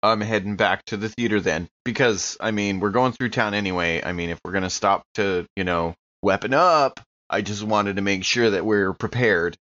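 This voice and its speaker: A man's voice: lowish voice, moderate pace, few pauses, a bit bright. A man chatting casually and acting out his moves as a player in a tabletop roleplaying game. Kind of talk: casual chat and in-character play. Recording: dull, lo-fi sound.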